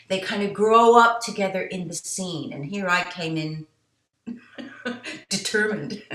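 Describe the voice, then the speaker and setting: A middle-aged woman, a jazz singer and songwriter, telling her story as an interview guest in an animated way, quoting herself and others as she goes. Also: medium pitch, reverberant. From United States. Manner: animated, tells stories with quoted asides and laughs.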